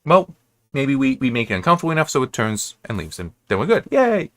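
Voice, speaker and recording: Bright-voiced American man, rambling casually. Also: medium pitch, big pitch swings, dry recording. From United States. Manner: halting, self-correcting, restarts and digresses often. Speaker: reviewer hosting an episode-by-episode analysis. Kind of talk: rambling, casual, unscripted commentary.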